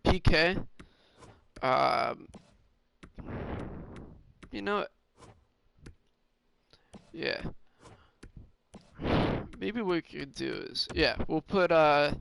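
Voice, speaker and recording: A man with a slightly hoarse, raspy voice, casually commentating on his own game and thinking aloud with lots of fillers. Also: on the high side, expressive, very slow, pauses often, a bit bright. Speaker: gaming streamer.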